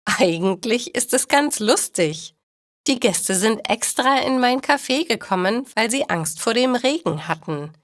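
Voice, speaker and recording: A narrator voicing an animated, practical bakery woman. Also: fairly high pitch, measured pace, no room sound, studio quality, pitched above the performer's own voice. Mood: amused. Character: cheerful, practical female bakery owner in a storybook.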